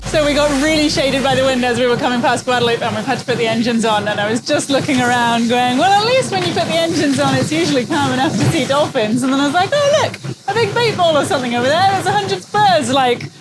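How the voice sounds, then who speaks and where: A woman sailing vlogger chatting casually to camera aboard a boat at sea, with an expressive, animated way of talking. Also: sits high, quick pace, few pauses, low-quality recording.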